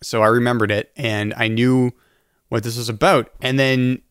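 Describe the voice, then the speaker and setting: A man's voice: medium pitch, steady tone, normal speed, fairly bright tone, clean tone, no echo, clean studio sound. A man co-hosting a casual chat podcast about a TV episode, reading notes aloud with stumbles and restarts. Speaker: podcast co-host.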